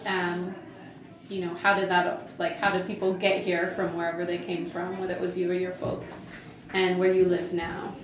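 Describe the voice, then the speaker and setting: A woman's voice: fairly low pitch, level tone, normal speed, roomy sound, a crowd in the background, rough recording. A woman interviewer asking loose, rambling questions with many fillers.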